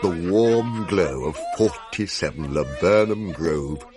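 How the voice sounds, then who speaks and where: An actor playing a pompous, aggrieved young Victorian English gentleman telling his tale theatrically in a radio drama. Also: low voice, expressive, slow, a crowd in the background. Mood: fond, wry. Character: pompous, aggrieved young Edwardian gentleman narrator, big eater.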